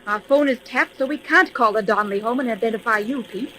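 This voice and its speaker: A composed lady investigator gives orders with firm authority in a 1930s radio drama. Her voice sits somewhat high, over the light noise of an old recording. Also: fast, pushed and bright. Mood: commanding. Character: brisk, commanding lady private investigator. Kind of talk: 1930s mystery radio serial acting.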